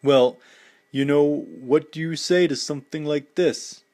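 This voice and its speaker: A man voicing a humble, plainspoken male boxing champion who talks in a halting way. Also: fairly high pitch, darkish tone, voice pushed up, natural voice.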